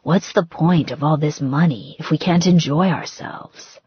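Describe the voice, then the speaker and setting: A woman voicing a tender, protective, intense wealthy groom in a romance audiobook, in a hushed, intimate murmur. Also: deep voice, a little slow, breathy edge, no echo, lo-fi sound, exaggerated voice.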